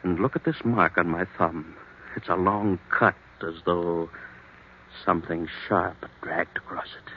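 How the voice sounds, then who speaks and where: A man's voice: low voice, fairly even intonation, measured pace. An actor playing an anguished, hesitant husband who fears his own memory lapses, in old-time radio drama style. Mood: distraught. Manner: hesitant, troubled, pleading. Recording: dull, noisy vintage radio recording.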